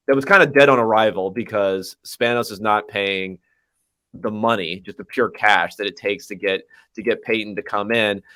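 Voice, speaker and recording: A man's voice: fairly low pitch, a bit bright. Wry male podcast host. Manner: quick, wry, sarcastic asides. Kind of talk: casual sports chat.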